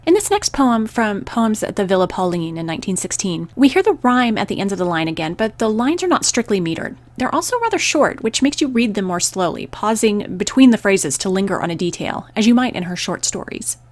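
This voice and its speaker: Woman reading measured radio narration, dry recording. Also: medium pitch, dry recording. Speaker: host of a poetry radio program. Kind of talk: scripted narration and poetry reading.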